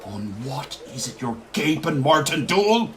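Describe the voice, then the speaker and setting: An actor playing a gruff, bossy Irish village blacksmith in a radio play, speaking in a scolding, emphatic way. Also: male voice, a little high, big pitch swings, measured pace, crowd noise. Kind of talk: radio play acting of rural Irish dialect.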